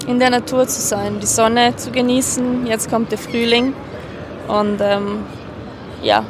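A woman giving reflective, halting answers in a spontaneous interview recorded outdoors on a street. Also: fairly high pitch, animated. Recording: clear outdoor street and traffic noise. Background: urban outdoor ambience.

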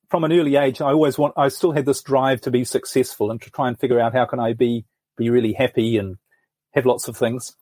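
Husky new guy, middle-aged, thinking out loud telling his story, dry close mic. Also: medium pitch, dry recording. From New Zealand. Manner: earnest, thoughtful storytelling with frequent restarts. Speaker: podcast guest. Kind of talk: reflective life story in an interview.

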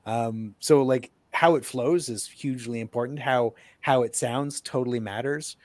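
A man's voice: mid-range voice, normal speed, a little mellow, dry recording. A man speaks informally as an author on a panel. His voice sits in a middle range and is captured dry, with almost no room around it. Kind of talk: casual chat.

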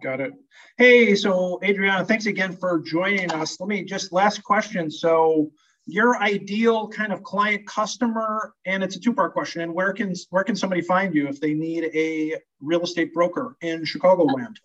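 A man's voice: high voice, normal speed, fairly bright tone. A man hosting an interview in a casual, rambling way, full of fillers. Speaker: host, family law attorney. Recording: dull, distant lo-fi sound.

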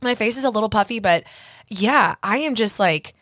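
A young woman hosting a solo podcast, chatty and self-mocking, her voice husky and a little hoarse from congestion. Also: mid-range voice, animated, moderate pace, a bit bright, dry recording, clean studio sound. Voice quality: husky, raspy. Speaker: podcast host.

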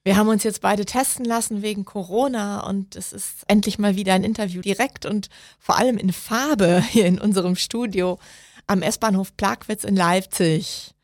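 Woman, podcast host, clear and friendly, dry close studio sound. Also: medium pitch, normal speed, no room sound. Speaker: podcast host and integration course teacher. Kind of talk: podcast interview.

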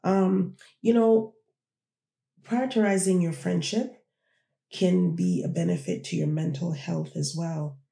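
A podcast host born in Jamaica and raised in Toronto, musing in a loose, conversational way and often turning to the audience with questions. The voice is gravelly and mellow. It sounds like a roomy space with noticeable echo. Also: mid-range voice, expressive, takes it slowly. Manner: reflective, conversational, addresses listeners directly. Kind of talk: casual solo podcast talk.

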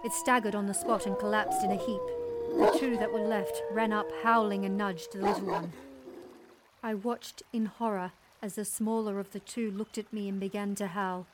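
A woman's voice: medium pitch, animated, normal speed, a loud crowd, close to an everyday voice. A woman playing a resolute young settler mother, telling her story in intense, dramatic first-person narration. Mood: tense, haunted. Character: resolute young settler mother recounting a wild dog attack.

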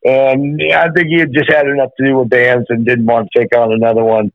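A man calling in as a musician guest, his voice a little rough after rehearsal, rambling with many restarts. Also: medium pitch, little pitch movement, a little fast, dry recording. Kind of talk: phone interview, reminiscing. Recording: phone call, dull and dry.

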